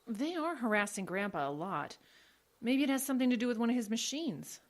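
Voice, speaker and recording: A woman's voice: fairly high pitch, expressive, a little fast. An actress playing a curious young woman in an audio drama, blunt and inquisitive. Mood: earnest.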